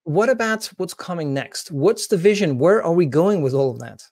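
A man's voice: on the high side, expressive, normal speed, darkish tone, dry recording, clean studio sound. A man in his forties hosting a video call interview, asking brief, encouraging questions from a home office. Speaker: host. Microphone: video call webcam.

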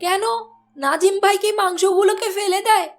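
A woman's voice: very high voice, steady tone, moderate pace, faint music behind. A woman voicing a nagging, scornful wife in a cartoon who scolds her husband. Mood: scolding. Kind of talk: cartoon voice acting.

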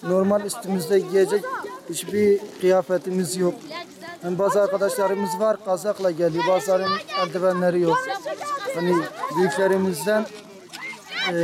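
A young man, a local skier, earnestly asking for support, hesitating with fillers while interviewed outdoors in freezing cold. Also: high-pitched. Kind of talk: street interview. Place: outdoors at a ski race in deep cold. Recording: outdoor crowd chatter behind.

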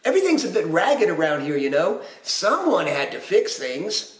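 Man playing a startled storybook girl, bossy, stammering, raised pitch, roomy recording. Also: wildly swooping pitch, moderate pace. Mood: flustered. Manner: stammering, exclaiming.

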